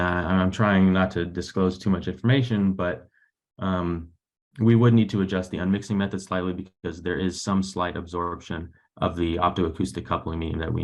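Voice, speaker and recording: A young man with a very low, gravelly voice explains technical research in an online academic seminar. His phrasing is hesitant and halting, with words often repeated as he works through his points. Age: young adult. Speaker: graduate researcher presenting and answering questions. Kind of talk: academic webinar talk and Q&A. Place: indoors on a video call. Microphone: video call microphone.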